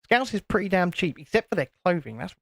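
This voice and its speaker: A man with a naturally high-pitched voice, hosting a casual livestream and cheekily bantering while reading out chat comments. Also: very animated, fast, creaky, a bit bright, no echo, clean studio sound. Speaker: host of a building livestream.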